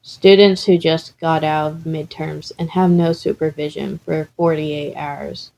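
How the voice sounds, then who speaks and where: A woman voicing a calm, unflappable teenage boy in a story reading, deadpan and nonchalant. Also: sits low, a little slow, vocal fry, a little hiss or hum, rough recording.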